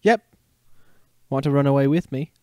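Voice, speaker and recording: A man's voice: mid-range voice, normal speed, a little mellow, no echo, close to an everyday voice. A man voicing a friendly, plucky young lion cub in a story. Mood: playful.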